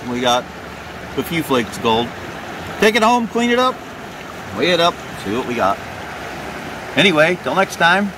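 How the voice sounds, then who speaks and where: A middle-aged man casually narrating his gold prospecting on a riverside gravel bar, relaxed and chuckling as he works. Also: a little high, moderate pace, pauses often, background noise.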